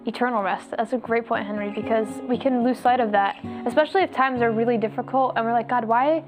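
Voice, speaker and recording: Chatty slightly hoarse woman, guitar behind. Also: fairly high pitch, steady tone, fast. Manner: chatty, fills speech with "like". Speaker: host. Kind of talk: casual faith discussion. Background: guitar music.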